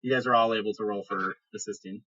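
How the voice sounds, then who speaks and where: Husky, forceful man running a fantasy game. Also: fairly low pitch, fairly even intonation, fast, lo-fi sound. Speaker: game master. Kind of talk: narrating a tabletop fantasy game, casual.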